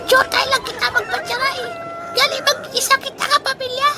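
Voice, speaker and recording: Very high-pitched young chicken, excitable, exclaiming, comic radio drama, heavy noise and clucking flock behind. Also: female voice, a little fast, a loud crowd. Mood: plaintive, excited. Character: talking chicken, lost child of the flock, excitable.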